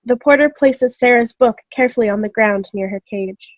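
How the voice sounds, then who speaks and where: Muffled, dry call audio carries a woman reader. Her voice is a little high as she narrates scripted stage directions for a play. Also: a little fast, no echo. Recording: dull video-call audio.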